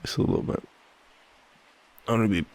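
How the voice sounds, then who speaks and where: A man's voice: a little fast, noisy background. A tired man with an Australian accent, speaking sleepily and affectionately in an intimate bedtime boyfriend roleplay. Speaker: audio roleplay performer playing a boyfriend.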